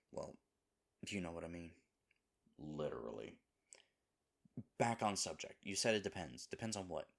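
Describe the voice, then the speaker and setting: A man's voice: sits low, creaky, fairly bright tone, heavily acted. A man playing a sweet, flustered, self-deprecating boyfriend in an intimate roleplay audio, hesitant and rambling as he corrects himself. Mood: flustered, sheepish.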